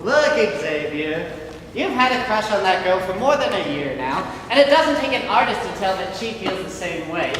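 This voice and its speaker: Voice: medium pitch, sing-song, moderate pace, natural voice. Eccentric young painter, played by an amateur stage actor, theatrically polite and cheeky, heard from far off in an echoing hall. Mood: exasperated. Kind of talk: amateur stage play acting. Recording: echoey hall, distant mic, noisy.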